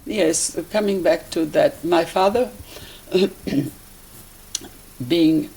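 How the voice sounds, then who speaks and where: Slow, elderly Polish-accented woman. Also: lowish voice, gravelly, warm tone, low-quality recording. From Poland. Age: 80s or older. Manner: halting, deliberate, searching for words. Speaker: interviewee, life-story witness. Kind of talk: personal reminiscence in an interview.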